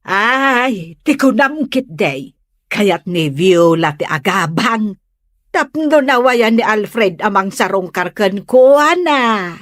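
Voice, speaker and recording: A woman playing a gossipy, excitable neighbourhood busybody in a comedy audio drama, with drawn-out exclamations. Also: medium pitch, no room sound, studio quality. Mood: curious, nosy. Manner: drawn-out, exclaiming, cackling delivery.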